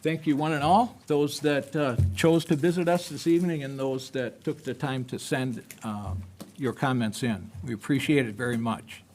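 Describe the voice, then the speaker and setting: A man's voice: medium pitch, moderate pace, a little mellow, slight background noise. A man chairing a school board meeting, moving the agenda along in a polite, formal way with small hesitations. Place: public meeting room.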